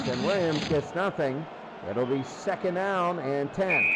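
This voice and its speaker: A man calls a football game live. He speaks in short, clipped phrases, often repeating the down and distance. The broadcast has clear noise behind him. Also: fairly high pitch. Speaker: play-by-play sports announcer. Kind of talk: live American football commentary.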